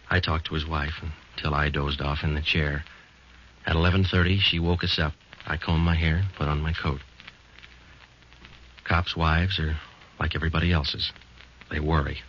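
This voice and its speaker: An actor playing a calm, matter-of-fact police sergeant who narrates a 1940s radio drama in a flat, clipped delivery, on a dull old broadcast recording. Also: male voice, extremely low pitch, normal speed, pauses often, a little hiss or hum.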